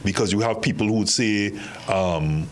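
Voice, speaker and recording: A man with a Trinidadian accent, hosting a studio panel discussion in a halting way that often restarts before he speaks at length. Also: fairly low pitch. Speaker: talk show host.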